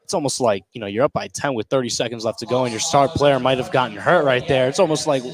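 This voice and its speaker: A man's voice: medium pitch, fast talker. A man giving conversational live basketball analysis as a color commentator on radio.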